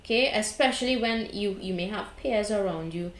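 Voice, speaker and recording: A woman's voice: mid-range voice, normal speed. Trinidadian woman, tarot reader, blunt chatty advice, echoey room. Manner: blunt, chatty, frequent "okay?" and "right?" check-ins. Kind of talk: casual spiritual advice monologue.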